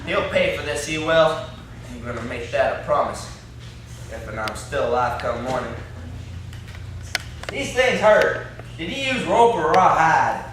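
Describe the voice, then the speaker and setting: A man's voice: a little high, expressive, unhurried, long pauses, fairly bright tone. A young man playing an indignant, blustering country captive in an amateur stage play, with a folksy, complaining, threatening way of talking. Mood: frustrated. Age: young adult. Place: classroom-like room, indoors. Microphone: far camera. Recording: echoey room, distant camera mic, faint noise.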